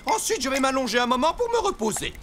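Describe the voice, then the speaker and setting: A high-strung, exasperated cartoon dog owner. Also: male voice, very animated, fast, fairly bright tone, slight background noise, full character voice. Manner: theatrical, swinging between coaxing and indignant. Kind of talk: French cartoon dub acting. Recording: music behind the voice.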